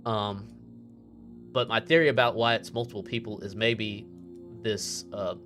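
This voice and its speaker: Low male voice chatting over music. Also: fairly even intonation, measured pace, a bit bright. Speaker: tabletop game player. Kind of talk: casual chat among friends. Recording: music playing behind the voice.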